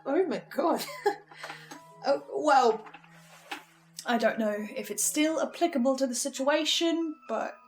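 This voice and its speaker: A woman's voice: sits high, slow, long pauses, a little mellow. A woman playing an anxious, awkward archivist in an improvised horror drama, hesitant and often stammering or cutting herself off. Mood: awkward, weary. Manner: hesitant, stammering, self-interrupting. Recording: music behind the voice. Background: music.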